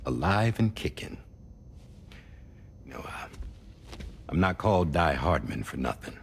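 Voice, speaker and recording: A man's voice: rumbling low, very animated, unhurried, creaky, slight background noise, exaggerated voice. A man acting as a defiant, self-assured undercover operative in a video game cutscene, with a wry, theatrical, conversational delivery. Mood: wry, smug.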